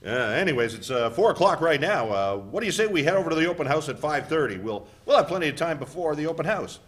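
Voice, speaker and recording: A man playing a genial, corny middle-aged dad in a play reading, with a halting delivery. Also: on the high side, big pitch swings, fairly bright tone. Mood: casual. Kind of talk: dramatic reading of a student play.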